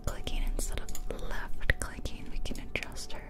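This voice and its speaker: A woman doing ASMR game commentary in a whisper, casually musing aloud close to the microphone. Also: moderate pace. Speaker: ASMR video creator. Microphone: close microphone, ear-to-ear ASMR.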